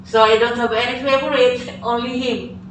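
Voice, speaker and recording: A woman's voice: fairly high pitch, fairly even intonation, normal speed, far from the mic, a little hiss or hum. An older Filipino teacher, a woman with a Filipino accent, giving an earnest classroom lecture and acting out quoted dialogue. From Philippines. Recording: echoey room recorded from afar.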